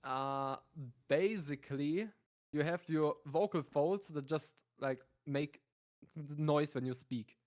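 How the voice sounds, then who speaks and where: A man joining a livestream as a guest, chatting casually and calmly explaining how his voice technique works. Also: a little high, takes it slowly. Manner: calm, matter-of-fact, explains vocal technique. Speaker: stream guest. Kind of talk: casual chat.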